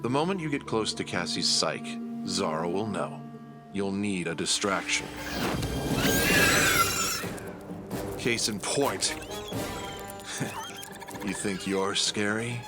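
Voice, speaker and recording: A man acting in an audio drama as a cunning, proud villain who talks formally and condescendingly, in a measured, menacing way. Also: low voice, expressive, over loud music. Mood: menacing, smug. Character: cunning, proud villain, reluctant ally to his nemesis.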